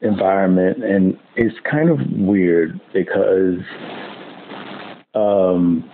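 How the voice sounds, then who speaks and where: Slow, lowish male voice, tinny noisy line. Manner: halting, searching for words. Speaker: podcast co-host. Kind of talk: casual chat. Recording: tinny phone-like line with clear noise.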